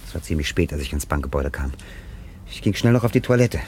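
A nervous, guilt-ridden male thief in an old radio crime play. He speaks in a very low voice at a brisk clip, defensive and hesitant, with faint noise on the vintage recording. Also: expressive, a little mellow. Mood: uneasy, confessing. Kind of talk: 1960s German radio crime drama acting.

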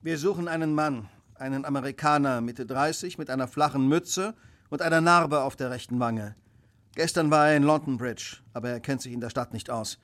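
An actor playing a brisk, self-assured detective who speaks with precise authority in a radio play. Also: male voice, mid-range voice, fairly bright tone, lightly acted. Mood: commanding. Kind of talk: German radio play acting.

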